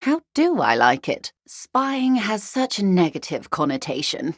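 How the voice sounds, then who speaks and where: Posh middle aged corporate negotiator lady for a game, lowish voice, super dry sound, composed n wry, very formal. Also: sing-song, a little slow, dry recording. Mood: wry, genteel. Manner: composed, formal, diplomatic, faintly ironic. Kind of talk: video game character dialogue.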